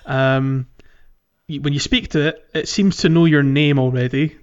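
A man's voice: fairly high pitch, fairly even intonation, moderate pace, muffled recording. A man co-hosting a podcast, chatting casually about a video game in a hesitant, chuckly, conversational way. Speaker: podcast co-host.

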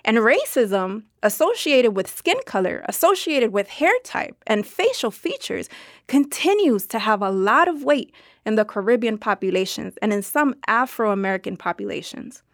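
A woman reading a dubbed translation of an interview answer in a measured, explanatory way. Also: a little high, expressive, no room sound, studio quality. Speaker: voice-over translator for an interviewed scholar.

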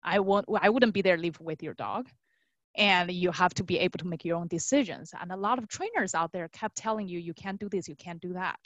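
A young woman who grew up in China, talking casually as an interview guest in an animated, conversational way, often checking in with tag questions. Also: on the low side, fairly quick, dry recording, muffled recording. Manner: animated storytelling, frequent tag questions, quotes imagined clients. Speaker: guest, dog trainer.

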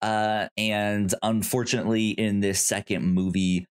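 A man hosting a podcast, casually recapping movies in a halting, stammering way with repeated word starts. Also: lowish voice, flat delivery, smooth. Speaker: podcast host.

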